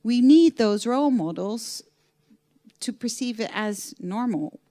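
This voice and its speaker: A woman's voice: fairly high pitch, expressive, slow pace, warm tone. A woman from the Netherlands speaking on a panel, hesitant and searching for words. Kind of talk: panel discussion remarks.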